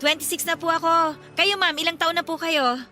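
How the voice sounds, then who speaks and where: A woman's voice: very high voice, steady tone, normal speed, pushed and bright. A woman playing a modest, hardworking flower seller of twenty-six in a radio drama, with a Filipino accent. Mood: shy, polite.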